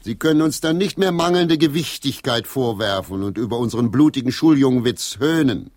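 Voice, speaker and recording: A man acting in a German radio play as a gruff, loyal senior member of a theatre troupe, with a blunt manner. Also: medium pitch, lively intonation, fairly quick, a little mellow, natural voice. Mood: commanding, backing.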